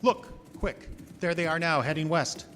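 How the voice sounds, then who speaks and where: An actor playing an earnest doctor, giving clipped diary entries in a live radio horror drama. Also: male voice, fairly high pitch, normal speed, fairly bright tone, long reverb tail, natural voice. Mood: urgent. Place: small theater stage. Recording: noisy live theater broadcast.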